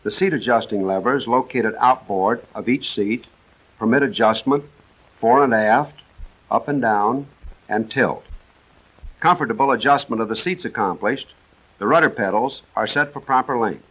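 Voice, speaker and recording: A dull, band-limited 1940s film soundtrack carries an American man's voice at a medium pitch. He narrates military instruction from a script, measured and precise, setting out each step in an orderly way. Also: breathy edge.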